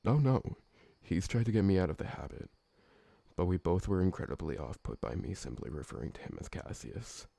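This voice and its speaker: A man playing a gentle, awkward, polite old vampire servant who talks hesitantly and deferentially in an ASMR roleplay. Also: low voice, sing-song, a little fast, creaky, no room sound, exaggerated voice. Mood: friendly, reflective. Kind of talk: ASMR roleplay audio drama.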